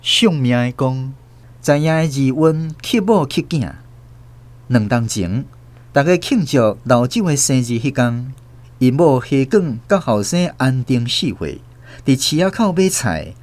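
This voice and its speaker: A man with a Taiwanese accent narrating a scripted radio drama story in an expressive storyteller's delivery. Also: mid-range voice, a little slow. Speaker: host and narrator.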